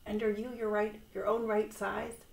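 Excitable male toad for a children's storybook, female reader, raised pitch, dramatic exclaiming, roomy echo. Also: moderate pace, gravelly, close to an everyday voice. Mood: anxious wonder. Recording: echoey, roomy sound.

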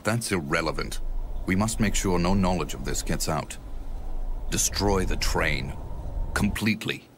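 An actor playing a cold, calculating villain commander in a horror video game, clipped and detached. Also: male voice, low voice, a little slow, long pauses, a bit bright, slight background noise. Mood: cold, commanding. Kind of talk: video game cutscene acting.

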